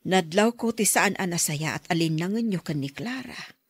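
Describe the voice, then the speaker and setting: A woman's voice: fairly low pitch, animated, normal speed, slightly rough, no room sound. A woman playing a concerned middle-aged mother who gives counsel, in an Ilocano radio drama. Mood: gentle, advising. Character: concerned mother counselling a quarrelling married couple.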